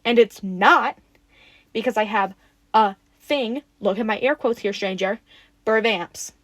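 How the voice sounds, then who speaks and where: Woman playing a young woman, friendly, excitable, rambling, playfully defensive, conversational audio roleplay, medium pitch, dry sound. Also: a little slow, dry recording. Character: excitable, friendly, teasing young woman casual about vampires.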